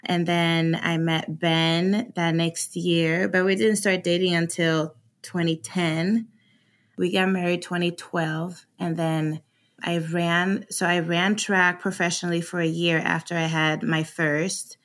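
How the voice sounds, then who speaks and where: Laughing Swedish-born woman, slightly low. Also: flat delivery, takes it slowly. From Sweden. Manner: open, laughs easily, many fillers. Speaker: guest. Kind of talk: casual podcast chat.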